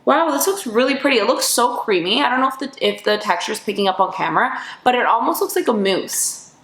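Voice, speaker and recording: A woman vlogger reviewing makeup in a casual, bubbly, chatty way, her voice a little raspy from just waking up. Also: a little high, expressive, brisk, a bit bright. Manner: bubbly and chatty, full of fillers. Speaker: beauty vlogger. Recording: echoey room.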